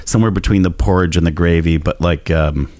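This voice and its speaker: Fast-talking deep-voiced man. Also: fairly even intonation, darkish tone, no room sound. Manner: jokey, rambling with restarts. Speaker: podcast guest. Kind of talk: casual chat.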